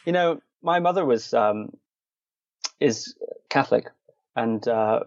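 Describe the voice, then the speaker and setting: A man from the Shetland Islands speaks as an interview guest in a mid-pitched voice. He is dryly funny and conversational, often hedging and qualifying as he goes. The sound is close and dry, though slightly dull and lo-fi. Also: expressive, takes it slowly, no room sound. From Shetland Islands, Scotland. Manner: dry, wry humour. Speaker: interview guest, meditation and martial arts practitioner.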